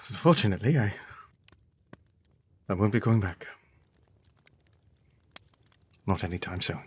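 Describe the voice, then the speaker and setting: A man playing a gentle, loving tailor father in an audio drama, tender and hesitant with a breathy voice. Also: fairly low pitch, animated, moderate pace. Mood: wistful, hesitant.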